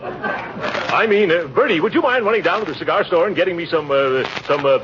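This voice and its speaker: An actor playing a pompous, easily flustered middle-aged uncle in 1940s radio comedy, blustering and stammering. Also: male voice, high voice, animated, brisk. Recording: noisy, muffled old radio recording.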